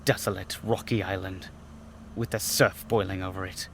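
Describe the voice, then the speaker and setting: A man's voice: deep voice, moderate pace. Male actor for restless young man in a radio mystery, blunt and on edge, slightly noisy track. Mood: bitter, brooding. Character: restless young heir, an aircraft designer, uneasy yet outspoken. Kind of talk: radio mystery drama acting. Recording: steady noise behind, vintage radio recreation.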